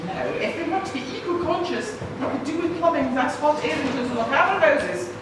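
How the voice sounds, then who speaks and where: A woman's voice: sits high, animated, brisk. Woman playing a nosy old granny, echoey. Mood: musing, opinionated. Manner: muttering opinionated complaints to herself. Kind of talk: live puppet show acting. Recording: distant, very echoey, noisy live hall.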